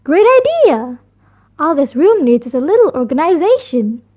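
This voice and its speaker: A woman's voice: high voice, big pitch swings, moderate pace, mellow. A woman voicing a firm, reasonable father bear in a storybook. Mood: enthusiastic.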